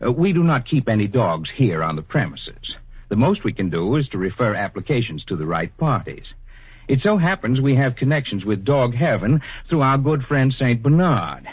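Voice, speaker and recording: A low husky superintendent type, patient, officious, swoopy intonation, muffled old radio drama. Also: male voice, very animated. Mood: patient, official. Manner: measured, officious, hesitant. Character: patient, kindly, bureaucratic male superintendent of the afterlife. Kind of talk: 1940s radio acting.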